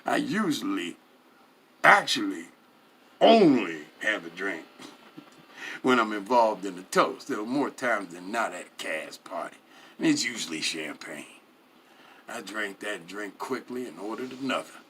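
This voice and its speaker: Male storyteller, bright forceful voice, creaky edges, expressive dramatic reading, emphatic swells and hushed drops. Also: fairly low pitch, unhurried, a little hiss or hum. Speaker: story reader. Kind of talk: dramatic first-person storytelling, reading aloud.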